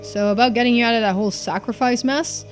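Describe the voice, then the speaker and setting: A woman's voice: on the high side, quick pace, close to an everyday voice. A woman voicing a shrewd, laid-back young man in video game dialogue, speaking matter-of-factly and casually. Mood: serious, composed. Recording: game music behind the voice.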